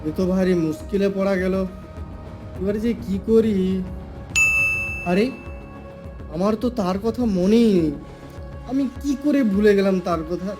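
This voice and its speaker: Male actor as cartoon king, high voice, fretful, imperious, sarcastic, clear music behind. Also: measured pace, pauses often. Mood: worried. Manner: imperious, sarcastic, worrying aloud. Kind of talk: Bengali comedy cartoon dubbing.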